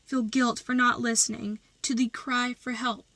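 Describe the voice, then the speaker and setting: A woman performing slam poetry as a poet, with dramatic, deliberate delivery and emphatic phrasing. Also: a little high, little pitch movement, fairly bright tone, dry recording.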